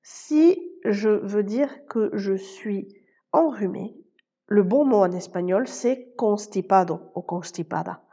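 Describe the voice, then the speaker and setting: Mellow-voiced woman teaching Spanish. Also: mid-range voice, slow pace. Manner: clear, explanatory, addresses listener directly. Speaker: Spanish teacher and podcast host. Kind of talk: scripted podcast lesson.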